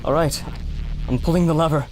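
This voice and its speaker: A man's voice: a little high, fairly even intonation, a little fast, over music, close to an everyday voice. A man playing an anxious, loyal adventurer in an audio drama, earnest and subdued. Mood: grim concern. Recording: noisy battle ambience behind.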